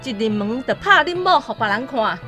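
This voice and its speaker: Bossy older taiwanese mother-in-law, radio drama, high scolding voice, swoops around. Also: expressive, moderate pace, bright, forceful tone, faint music behind.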